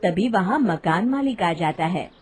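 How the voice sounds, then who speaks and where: A woman narrating an animated moral story as a scripted storyteller. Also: lowish voice, a little slow, breathy edge.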